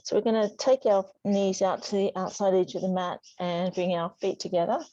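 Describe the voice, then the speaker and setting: A woman teaching a yoga class, giving soothing, unhurried guidance with pauses between instructions. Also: medium pitch, little pitch movement, dark tone, no room sound. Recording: dull, muffled lo-fi sound.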